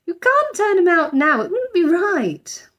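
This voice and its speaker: A kindly middle-aged mother from a storybook, acted out by a woman reader. She is earnest and persuasive, stressing her words with highly animated swings of pitch. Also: a little slow, full character voice. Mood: pleading, firm.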